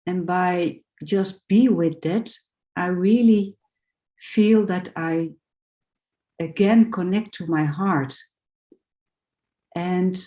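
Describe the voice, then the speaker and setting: A woman's voice: lowish voice, warm tone. A woman from the Netherlands with a Dutch accent, speaking English as a second language and sharing personal thoughts hesitantly, with many fillers. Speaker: guest speaker.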